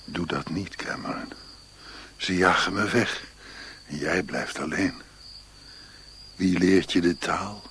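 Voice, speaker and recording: A man's voice: low voice, slow, long pauses, a bit bright, heavily acted. An actor playing an old exiled Chinese man, sly and melancholy, in a radio play. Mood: pleading, melancholy. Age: older. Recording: noisy archive radio play recording.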